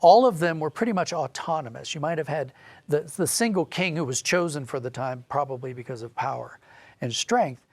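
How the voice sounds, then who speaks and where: A man's voice: fairly high pitch, animated, fairly quick, dry recording. A man speaking as an interview guest, explaining history in a discursive, thoughtful, conversational way. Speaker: interview guest, audio drama writer.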